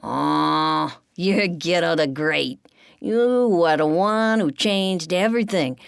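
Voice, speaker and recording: A woman voicing Joey, a showy, fun-loving young male pack rat who calls out in a loud, sing-song showman style. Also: fairly low pitch, slow pace, gravelly, smooth, no echo, clean studio sound. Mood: excited.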